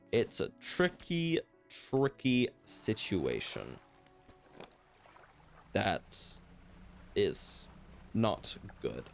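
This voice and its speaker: A man's voice: medium pitch, gravelly. A young man hosting a solo talk show, rambling loosely and reflectively, his voice slightly worn from hours of talking. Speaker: podcast host.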